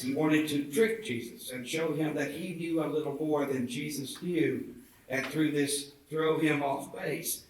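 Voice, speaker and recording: Male reader, preacherly oratory for a public speech reading; distant mic in a reverberant space with faint noise. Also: a little high. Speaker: reader at a commemorative event. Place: indoor theatre event.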